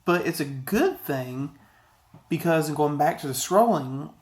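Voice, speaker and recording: A man's voice: fairly high pitch, animated, takes it slowly. A man in his thirties giving a casual, rambling, conversational product review to camera, often digressing. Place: small indoor office, sitting at a desk.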